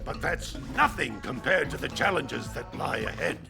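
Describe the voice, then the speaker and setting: A man voicing a gruff, bossy older male sage in a video game cutscene, grumbling orders in a gravelly voice. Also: medium pitch, lively intonation, moderate pace, music in the background.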